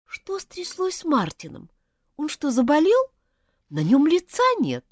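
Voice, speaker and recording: A woman reading aloud, voicing a timid schoolgirl asking anxious questions softly. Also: high-pitched, huge pitch swings, measured pace, dry recording, clean studio sound, voice pushed up, heavily acted. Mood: worried. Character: timid worried young schoolgirl.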